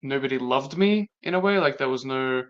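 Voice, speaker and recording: Latvian man talking in halting reflection. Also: mid-range voice. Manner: reflective, halting, with many restarts. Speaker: guest. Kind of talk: casual conversation. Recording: dull lo-fi sound.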